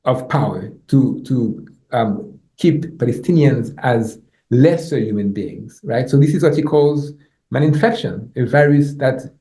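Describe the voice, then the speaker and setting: A man's voice: mid-range voice, mellow. Haitian man lecturing in an echoey room. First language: Haitian Creole. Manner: halting, repeats words, tags points with "you know" and "right?". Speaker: professor, guest lecturer. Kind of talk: academic lecture with slides.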